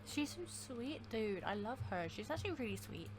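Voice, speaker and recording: A woman's voice: on the high side, sing-song, normal speed, slight background noise. A woman gaming streamer chatting casually and informally as she reacts to a playthrough.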